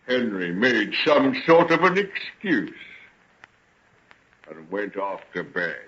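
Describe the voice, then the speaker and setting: An actor playing a sinister, mocking gentleman with elaborate politeness in an old radio drama. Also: on the low side, big pitch swings, heavily acted. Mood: gloating narration. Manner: taunting, theatrical, drawn-out polite phrasing. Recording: dull, noisy old radio recording with clicks.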